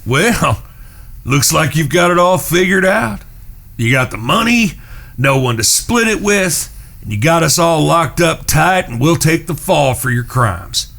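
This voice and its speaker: An actor playing a shrewd, menacing Old West gunslinger, speaking in a deliberate drawl in an audio drama. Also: male voice, a little high, animated, creaky, a bit bright, heavily acted. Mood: cold menace. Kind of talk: western audio drama acting.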